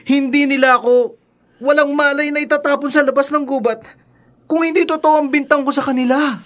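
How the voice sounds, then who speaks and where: A man's voice: very high-pitched, steady tone, a little fast, a bit bright. An actor playing a bruised young man who respectfully urges a police sergeant to help him. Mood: urgent.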